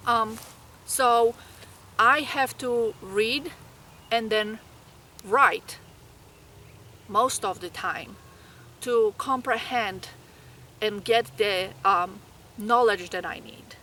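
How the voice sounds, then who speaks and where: A woman's voice: on the high side, extremely slow, long pauses, bright, forceful tone, slight background noise. A woman from Poland with a Polish accent, giving earnest, encouraging study advice to nursing students. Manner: earnest, encouraging, with small grammar slips.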